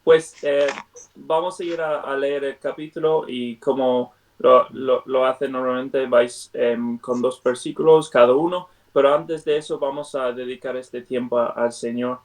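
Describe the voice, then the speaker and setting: Man leading a prayer, halting. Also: mid-range voice, fairly even intonation. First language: not Spanish. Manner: hesitant, many fillers and restarts. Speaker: Bible study leader. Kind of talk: prayer and Bible study on a video call. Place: at home on a video call. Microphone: computer mic on a video call. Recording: video call audio from far off the mic.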